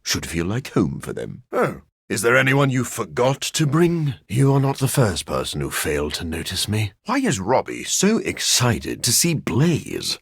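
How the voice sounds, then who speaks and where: An actor playing a brooding, scholarly vampire in a video game, a man with a husky, gravelly voice and a dry, formal manner. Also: mid-range voice, wildly swooping pitch, unhurried, few pauses, no room sound, heavily acted. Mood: wry, awkward. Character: brooding, scholarly living vampire scientist, grim and awkward, adult man. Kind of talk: video game character acting.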